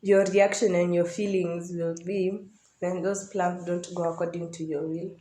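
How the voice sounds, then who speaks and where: A woman's voice: fairly low pitch, level tone, moderate pace, mellow, slight background noise. A young adult Kenyan woman hosting a casual group chat, asking and responding in an informal way. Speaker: podcast host. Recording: echoey room, background chatter.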